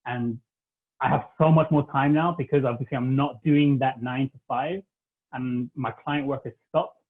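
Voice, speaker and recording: A man's voice: mid-range voice, steady tone, normal speed. A man, chatting casually as a podcast guest and rambling with lots of "like". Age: 30s. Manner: rambling, heavy use of "like". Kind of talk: casual chat. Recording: tinny phone-like line.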